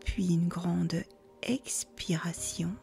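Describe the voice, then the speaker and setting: A woman leads a guided relaxation in a soft, near-whispered voice. Her delivery is very slow and calm, with a mellow tone and a creaky edge. She gives soothing instructions with unhurried pauses. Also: lowish voice. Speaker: yoga nidra guide. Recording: faint music behind the voice.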